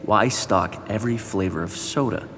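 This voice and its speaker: An actor playing a cautious, deferential, inquisitive junior officer in an audio drama. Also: male voice, lowish voice, moderate pace, a bit bright, soft background music. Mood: suspicious. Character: cautious, dutiful, questioning male subordinate officer.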